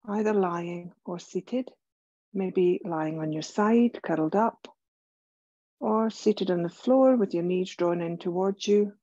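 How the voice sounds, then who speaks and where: A woman leading a guided relaxation meditation as a yoga teacher, gentle and soothing, speaking in measured phrases with pauses. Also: lowish voice, no echo, dull audio.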